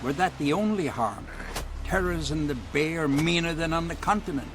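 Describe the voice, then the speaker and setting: A man's voice: a little high, moderate pace, over music, natural voice. A man as a grave, earnest island tradesman. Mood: grave, urgent. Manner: earnest, rustic. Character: grave island working man seeking help. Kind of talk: video game cutscene acting.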